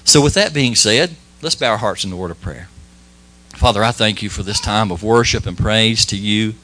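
A man giving an informal church sermon and prayer, folksy and modest, talking in halting phrases with many restarts and fillers. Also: fairly low pitch, bright, forceful tone. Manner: modest, plain-spoken. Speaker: lay preacher.

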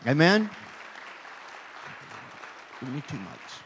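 Male church host, unhurried introduction, faint noise and crowd in the recording. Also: fairly high pitch, sing-song, fairly bright tone. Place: church hall. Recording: noisy hall with crowd sound.

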